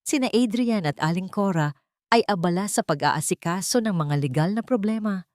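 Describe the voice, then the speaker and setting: A woman's voice: medium pitch, expressive, moderate pace, darkish tone, no echo, studio quality. A woman narrating a scripted story in a dramatic style.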